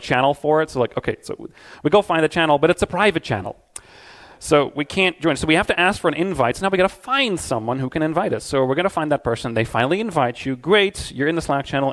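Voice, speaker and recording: A middle-aged man giving an energetic, conversational conference talk on stage in a loud voice. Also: on the high side. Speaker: conference speaker.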